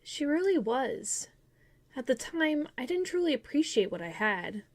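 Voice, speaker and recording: A woman's voice: high-pitched, very animated, a little slow, full character voice. A woman playing a grown-up wistfully recalling childhood, narrating a scripted first-person story in an earnest, reflective way.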